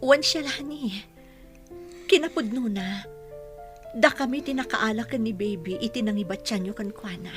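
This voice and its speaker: A woman's voice: fairly high pitch, moderate pace, long pauses, faint music behind, close to an everyday voice. A woman with an Ilocano Filipino accent playing an earnest, pleading mother in a radio drama. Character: earnest, apologetic adoptive mother in a village drama.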